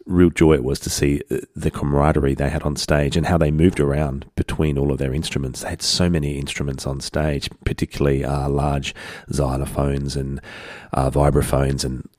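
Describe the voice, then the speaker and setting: A man hosting a community radio music show, relaxed and rambling with lots of fillers, sounding congested from a head cold. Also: very deep voice, normal speed, no room sound, clean studio sound. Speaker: radio host. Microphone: studio broadcast mic.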